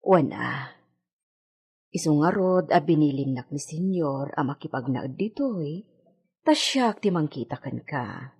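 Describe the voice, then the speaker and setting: A woman's voice: deep voice, lively intonation, a little slow, long pauses, a little mellow, slightly rough, exaggerated voice. A woman with an Ilocano accent acting a practical, reassuring housekeeper in a radio drama, coaxing and giving advice.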